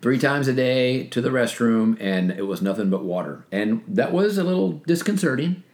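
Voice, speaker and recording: A middle-aged man co-hosting a podcast in a relaxed, joking, teasing way. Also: mid-range voice, moderate pace. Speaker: podcast co-host, personal trainer. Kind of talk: casual podcast chat.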